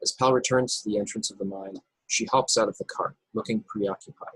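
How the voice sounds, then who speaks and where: A man's voice: lowish voice, fairly even intonation, a little fast, a bit bright, slightly rough, no echo, poor audio. A man narrating screenplay stage directions in a table read over a video call.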